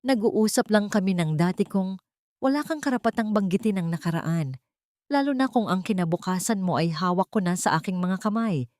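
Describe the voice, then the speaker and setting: A woman voicing a cold, powerful tycoon husband who makes calm, commanding threats in an audio drama. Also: medium pitch, a little fast, no room sound, studio quality, lightly acted.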